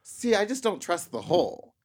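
A man's voice: high-pitched, big pitch swings, moderate pace, husky. A man co-hosting a podcast with casual comic banter, blunt, cheeky and foul-mouthed. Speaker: podcast co-host.

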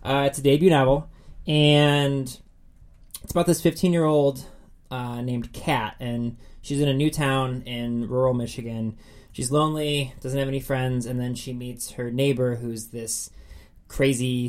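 A man's voice: mid-range voice, level tone, unhurried, a little mellow. An American man co-hosting a book podcast, talking in a casual, informal and effusive way. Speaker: podcast co-host discussing upcoming books.

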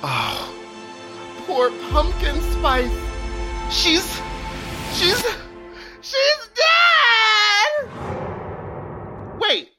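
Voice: very high-pitched, wildly swooping pitch, extremely slow, pauses often, full character voice. An actor playing an earnest, melodramatic drag queen contestant in a campy comedic radio play, speaking with breathless theatrical sincerity. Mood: melodramatic shock. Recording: music behind the voice.

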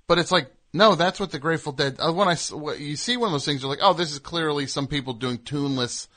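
A man's voice: fairly high pitch, quick pace, bright, forceful tone. A man hosting a radio show, chatting casually and comedically with a guest.

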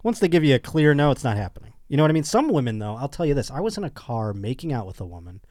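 Animated man in his thirties, teasing. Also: medium pitch, very fast, no echo, studio quality. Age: 30s. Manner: animated, teasing, acts out quotes. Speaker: podcast host. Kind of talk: casual chat.

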